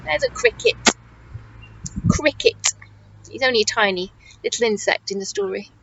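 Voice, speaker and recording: A woman reading a story aloud to young children like a nursery teacher, in an animated, exaggerated manner, often putting questions straight to them. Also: fairly high pitch, moderate pace, husky, dull audio.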